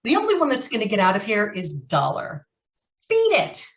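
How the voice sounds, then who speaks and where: A woman's voice: medium pitch, huge pitch swings, moderate pace. Woman playing a menacing carnival gangster, swooping delivery. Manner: menacing, slangy tough talk. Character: tough, vengeful, gun-toting carnival gangster. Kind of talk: old-time radio crime noir acting.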